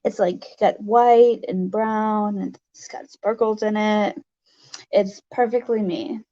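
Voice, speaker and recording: A livestream host with a raspy voice, chatting casually and haltingly with viewers, with many fillers. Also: medium pitch, steady tone, dark tone, highs cut off.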